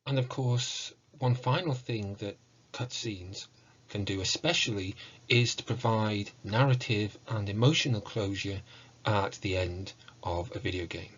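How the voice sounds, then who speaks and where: A man giving an academic presentation as a lecturer, measured and scholarly in his delivery. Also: lowish voice, dull audio, a little hiss or hum. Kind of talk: academic presentation, scripted narration.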